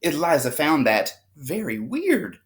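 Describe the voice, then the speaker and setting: A woman reads aloud to children in a slow, measured way. Her slightly low voice moves up and down a great deal, with expressive, dramatic storytelling. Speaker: storybook reader. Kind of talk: children's read-aloud of a rhyming picture book.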